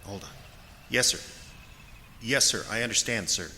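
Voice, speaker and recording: Low-voiced, bitter ex-soldier. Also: male voice, animated, normal speed, sharp tone, a little hiss or hum, exaggerated voice. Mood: gruff, commanding. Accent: American. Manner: gruff, sardonic, curt. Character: bitter, defensive middle-aged male ex-soldier, gruff sergeant. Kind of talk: audio drama acting.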